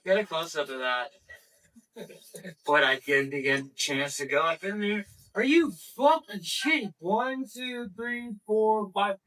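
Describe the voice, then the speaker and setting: A man narrating an excited vlog on location, emphatic and prone to swearing, recorded at a distance from the microphone. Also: high-pitched, slow pace.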